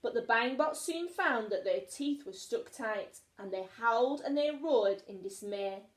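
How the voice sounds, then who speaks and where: Animated woman reading a children's story. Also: on the high side, unhurried. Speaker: teacher. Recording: echoey room.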